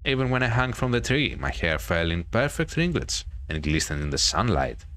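A man's voice: low-pitched, sing-song, moderate pace, fairly bright tone. A man reading the part of a vain, bitter ghost of a hanged girl in a horror visual novel, with dramatic storytelling. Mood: brooding.

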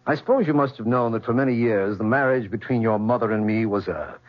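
A man's voice: mid-range voice, fast, close to an everyday voice. A middle-aged man acting in a 1970s radio drama as a domineering, self-assured, wealthy father. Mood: cool, firm. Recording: muffled vintage radio recording.

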